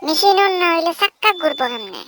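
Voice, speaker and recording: A man voicing a squeaky, cheeky little cartoon sidekick with a Sylheti accent and a sing-song, teasing way of talking. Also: very animated, quick pace, noisy background. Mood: mocking. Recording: loud music over the voice.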